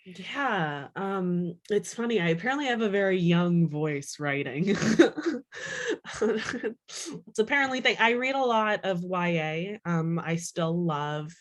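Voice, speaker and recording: A woman speaks as an author in a relaxed interview. Her voice sits a little low for a woman. She talks in a chatty, conversational way, dropping "like" often and voicing small imagined quotes. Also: slow. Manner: chatty, animated self-quoting, peppered with "like". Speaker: children's author and librarian being interviewed. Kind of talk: casual interview chat.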